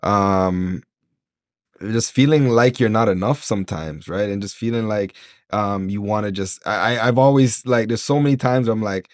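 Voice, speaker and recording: A man from Jamaica talks as a podcast guest in a fairly low voice. He sounds earnest and motivational, circling back with fillers and restarts. The recording is dry and close. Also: moderate pace, no echo. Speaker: entrepreneur guest. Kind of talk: casual interview talk.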